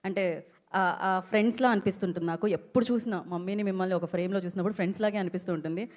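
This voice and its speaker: A woman's voice: medium pitch, steady tone, very fast, darkish tone. A woman with a Telugu Indian accent hosting a casual stage interview, chatty and prompting, mixing Telugu with English words. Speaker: event host. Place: film promotion event.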